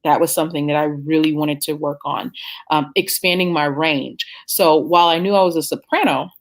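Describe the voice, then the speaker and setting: A woman's voice: deep voice, fairly even intonation, normal speed. A woman in her thirties, an opera singer answering interview questions in a reflective, conversational way with frequent fillers and restarts.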